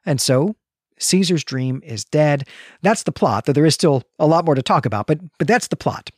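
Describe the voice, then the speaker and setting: A man's voice: fairly high pitch, expressive, normal speed, darkish tone, no echo. Man explaining in a dry, close recording. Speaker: podcast host. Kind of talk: literary discussion, explanatory.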